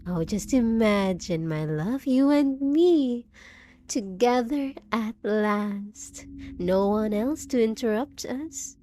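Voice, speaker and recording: A woman's voice: fairly high pitch, lively intonation, slow pace, warm tone, slight background noise. A woman voicing a possessive, unhinged undead bride in a roleplay audio drama, cooing endearments and teasing questions with sweet menace. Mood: longing, menacing.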